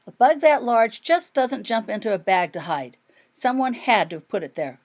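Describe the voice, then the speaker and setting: Woman doing a grumpy stubborn schoolgirl in an audiobook, indignant and pitched up. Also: animated, normal speed. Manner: grumpy, protesting. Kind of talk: audiobook character dialogue.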